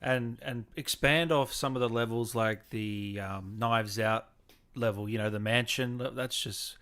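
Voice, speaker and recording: A man's voice: mid-range voice, a little slow, fairly bright tone, smooth. A man hosting a gaming interview in casual, chatty style.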